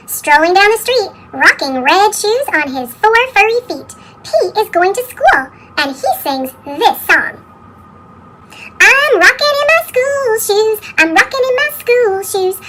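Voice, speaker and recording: A woman reads children's picture books in an animated, question-and-answer storytelling manner, her voice altered with a sped-up chipmunk effect. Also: very high-pitched, unhurried, background noise. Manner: exclaiming, question-and-answer delivery for young children. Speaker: children's picture book reader. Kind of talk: animated storybook narration with sung refrains.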